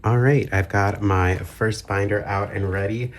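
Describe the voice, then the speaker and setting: A man's voice: fairly low pitch, steady tone, measured pace, slight background noise. A man chatting informally as the host of a hobby vlog, his voice affected by the tail end of a cold. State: getting over a cold. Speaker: YouTube channel host, collector.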